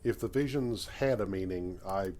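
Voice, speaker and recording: Casting: man playing laconic new recruit in a space game, low, mellow dark tone, measured and dry. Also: close to an everyday voice. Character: laconic, modest male miner turned space explorer. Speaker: player character, new recruit. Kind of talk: video game character dialogue.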